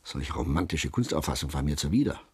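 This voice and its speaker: Husky, low-voiced, world-weary middle-aged man. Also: lively intonation, darkish tone, exaggerated voice. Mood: dry, rueful. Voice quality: husky, gravelly. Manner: wry, halting, confiding storytelling. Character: middle-aged former lawyer turned novelist, wry, self-deprecating, world-weary. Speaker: narrator and protagonist. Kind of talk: German radio drama monologue.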